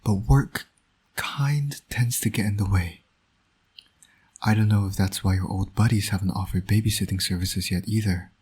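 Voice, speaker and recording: A low, husky male voice for a roleplay audio drama , a man acting it out. He is a smug villain who flirts and needles with dry sarcasm, yet shows a fatherly soft side. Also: steady tone, moderate pace. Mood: defiant, casual. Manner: smug, teasing, sardonic. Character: teasing, flirtatious male supervillain, doting secret father.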